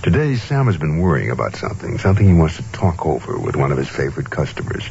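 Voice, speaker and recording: A man's voice: a bass voice, big pitch swings, fast, vocal fry, a little mellow, slight background noise, heavily acted. A man narrating an old-time radio drama in a solemn, scene-setting manner on a muffled old recording. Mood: measured, evocative.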